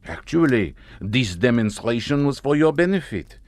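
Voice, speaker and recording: A male actor as a composed, assured hypnotist with an expressive, swinging pitch. The delivery is measured, recorded close and dry for a horror audio play. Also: no room sound, natural voice. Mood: calm authority. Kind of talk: audio horror drama acting.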